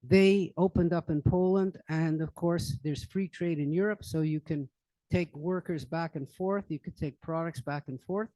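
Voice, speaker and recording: A man's voice: on the high side, normal speed, warm tone, no echo, low-quality recording. An older man giving an informal current-events talk to an audience at a public library, rambling with many fillers and restarts. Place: library meeting room.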